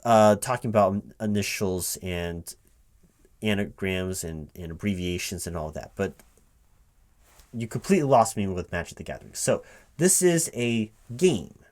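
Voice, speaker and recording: A man speaking aloud in a one-sided ASMR job interview roleplay as a recruiting manager, halting and repeating himself as if puzzled. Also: lowish voice, expressive, darkish tone. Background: computer keyboard typing.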